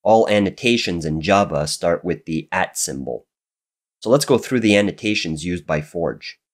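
A man narrating a screen-recorded coding tutorial while getting over a cold, his voice a little raspy and nasal, explaining in a steady, methodical way. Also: deep voice, normal speed.

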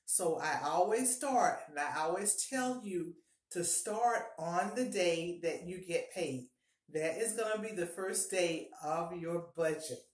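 A woman's voice: fairly low pitch, slow pace. A middle-aged woman giving upbeat, encouraging budgeting advice to camera in a casual home vlog, often repeating her key points. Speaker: personal finance vlogger. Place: small home bathroom, indoors. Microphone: fixed camera at close range. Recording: echoey small bathroom.

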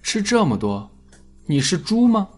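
Guy doing small guarded orphan kid in an audiobook, soft prickly murmur, husky, faint music behind. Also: sits high, very animated, unhurried, pitched above the performer's own voice, heavily acted. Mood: teasing. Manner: soft, murmuring, turning sharp when challenged. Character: small, guarded, lonely orphan boy, prickly but earnest. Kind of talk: audiobook character acting.

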